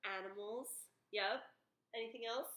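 A woman's voice: mid-range voice, measured pace, a bit bright. A woman in her thirties, a teacher, leading an upbeat craft lesson for kids at a home table, explaining step by step. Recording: echoey home room, background noise.

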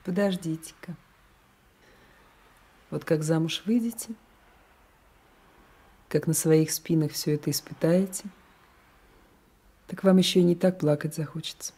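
An actress playing an unhappy young peasant wife in a radio drama, anxious, melancholy and guarded. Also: female voice, low-pitched, measured pace, long pauses, warm tone, breathy edge. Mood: bitter.

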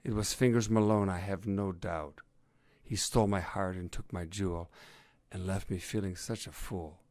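A man's voice: low voice, steady tone, moderate pace, darkish tone, no echo, lightly acted. Man playing a plaintive, lovelorn dog lady. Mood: indignant. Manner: plaintive, melodramatic. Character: lovelorn, dramatic female setter dog lady.

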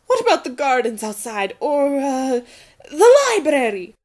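A woman voicing an eager, nervous enchanted candelabra servant, excitable and pleading, in an audio drama. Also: sits high, unhurried.

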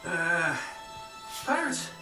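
A man's voice: on the high side, very animated, very slow, distant mic, exaggerated voice. An actor playing a cocky, wisecracking male treasure hunter in a video game, with a wry, casual manner. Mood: wry, urgent. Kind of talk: cinematic video game acting. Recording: roomy game audio under loud music.

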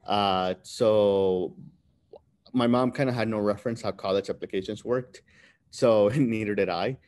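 A man in his thirties, born in Peru and raised in New Jersey, telling his life story as an interview guest on a video call, relaxed and filler-heavy. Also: fairly low pitch, unhurried. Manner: relaxed, many fillers, laughs between phrases. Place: room with bright window behind. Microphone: webcam on video call.

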